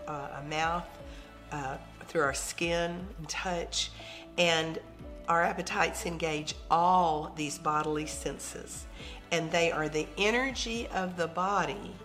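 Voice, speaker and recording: A hoarse woman with a lowish voice gives a calm, reflective devotional teaching. She speaks in a gentle, measured way. Soft music sits faintly behind her.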